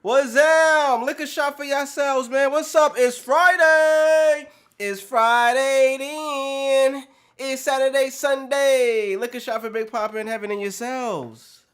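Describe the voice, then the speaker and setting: Loud hyped man hosting a stream. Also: extremely high pitch, steady tone, takes it slowly. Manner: loud, hyped and profane, shouting greetings and slang to chat. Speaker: podcast and livestream host. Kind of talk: hyped casual livestream chat. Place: indoor room with plants.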